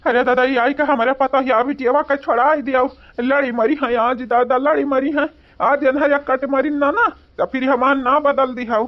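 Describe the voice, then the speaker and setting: An older village father from an Awadhi cartoon, as a voice actor plays him, pleading and fretting in a voice set unusually high. He keeps a strong Awadhi accent, and the recording sounds dull and lo-fi. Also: steady tone, moderate pace, close to an everyday voice. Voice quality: pleading, fretful. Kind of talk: cartoon voice acting.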